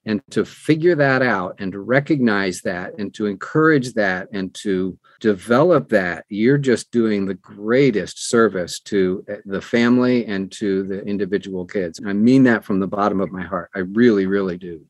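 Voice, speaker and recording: A man's voice: lowish voice, moderate pace, hardly any pauses, no echo. A middle-aged or older man talking as an interview guest, giving earnest, conversational advice and repeating phrases for emphasis. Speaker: interview guest, audiobook producer.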